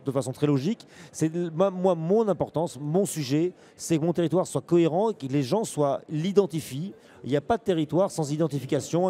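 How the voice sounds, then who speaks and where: A man's voice: fairly high pitch, warm tone. A man speaking as a local elected official in a panel discussion, emphatic, often rephrasing himself mid-sentence. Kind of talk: panel discussion, unscripted argument.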